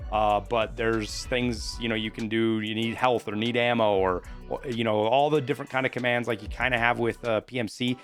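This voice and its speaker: A man's voice: medium pitch, fairly bright tone. A man hosting a video game news update in an informal, conversational, explanatory way. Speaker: gaming news host. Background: background music.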